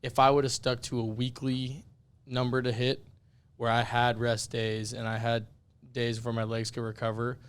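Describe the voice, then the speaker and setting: A young man co-hosting a casual podcast, his voice raspy and worn out from yelling, talking in a candid, self-deprecating way. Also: mid-range voice, monotone, normal speed. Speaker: podcast co-host.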